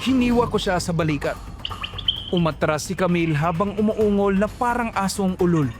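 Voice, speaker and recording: A man's voice: high-pitched, normal speed, over music. A man narrating a first-person horror story in a dramatic, suspenseful manner.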